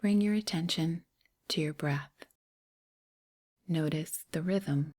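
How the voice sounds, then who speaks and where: A woman leads a guided meditation in a soft, breathy voice that sits close to a whisper. Her pitch is on the low side and her delivery is very slow. She speaks gently in short phrases, leaving space between them. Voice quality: breathy, hushed, near-whisper. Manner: gentle, unhurried phrasing with pauses.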